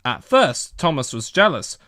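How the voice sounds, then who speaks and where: A man's voice: mid-range voice, big pitch swings, a little slow, bright, forceful tone, dry recording, studio quality. A man narrating a children's story from a script as a storybook reader.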